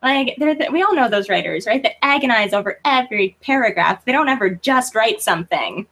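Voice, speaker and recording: A woman with a fairly high, husky voice, chatting as an interview guest. She is informal and self-deprecating, and her pitch swings around in a very lively way. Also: brisk. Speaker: guest author being interviewed. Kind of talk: casual interview chat.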